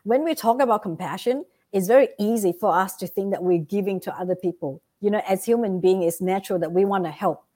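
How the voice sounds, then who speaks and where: A woman's voice: medium pitch, fast talker, hardly any pauses, dark tone. A woman with a Vietnamese accent, a guest giving earnest motivational advice and often checking in with "right?". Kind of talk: motivational interview talk.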